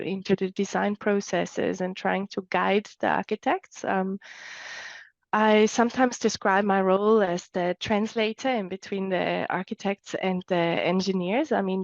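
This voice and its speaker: A woman's voice: mid-range voice, creaky, dry recording, highs cut off. A woman from Switzerland, a timber engineer talking as an interview guest, thoughtful and restarting small phrases as she goes. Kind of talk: interview conversation.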